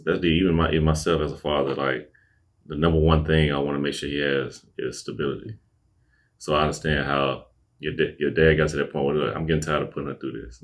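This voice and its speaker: A young man hosting a podcast interview, relaxed and casual, with lots of short affirmations. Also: a bass voice. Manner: laid-back, frequent affirmations like "okay, okay" and "I got you". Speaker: podcast host.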